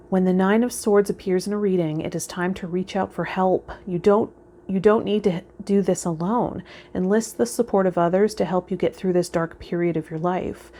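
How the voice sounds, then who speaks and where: A woman hosting a vlog as a tarot reader, talking to viewers in a gentle, reflective way and offering spiritual guidance. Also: on the low side. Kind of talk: casual spiritual guidance talk to viewers, partly read out.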